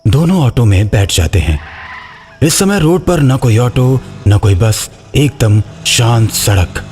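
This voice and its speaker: A man's voice: medium pitch, animated, slow pace, darkish tone, soft background music. A man reading a scripted horror story as its narrator.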